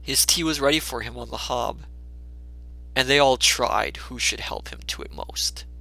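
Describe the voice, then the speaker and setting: A man narrating a classic story as a dramatic reader, measured and expressive. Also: mid-range voice, creaky, poor audio.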